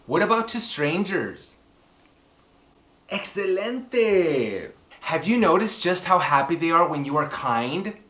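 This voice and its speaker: A man hosts a children's story time in a theatrical, exclamatory way, talking straight to his young audience. His pitch swings widely while he takes his time over the words. The room adds a noticeable echo around his voice. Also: bright, forceful tone. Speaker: host of a children's book club and story time. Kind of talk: animated children's book presenting and read-aloud. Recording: roomy echoing room sound.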